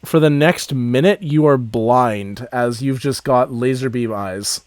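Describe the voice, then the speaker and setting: A man's voice: medium pitch, unhurried, darkish tone, no room sound. A man acting as game master, narrating a tabletop game in a casual, descriptive way.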